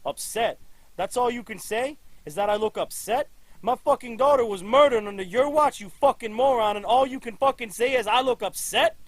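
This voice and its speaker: A man's voice: mid-range voice, normal speed, dry recording, lightly acted, rough recording. A man playing a stern police chief. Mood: furious. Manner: stern, erupts into furious swearing. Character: gruff middle-aged male police chief. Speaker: police chief and grieving father.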